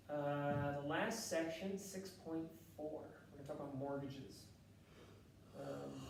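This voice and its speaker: Loud man lecturing in an echoey classroom. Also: on the high side, creaky, a little hiss or hum. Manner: casual and chatty, thinks aloud with fillers and self-corrections. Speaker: math instructor. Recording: echoey classroom, distant mic.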